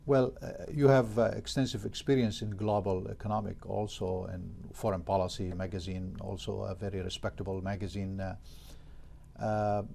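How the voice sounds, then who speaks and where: A man hosts a formal television interview in a dark, mellow voice. He asks long, winding questions, pausing on fillers as he goes. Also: on the low side, flat delivery, creaky, a little hiss or hum. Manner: hesitant questioning with many fillers. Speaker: TV interview host.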